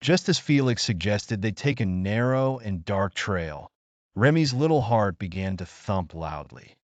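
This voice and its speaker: A man narrating a scripted children's story in an expressive, measured storytelling manner. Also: fairly low pitch, dry recording, highs cut off.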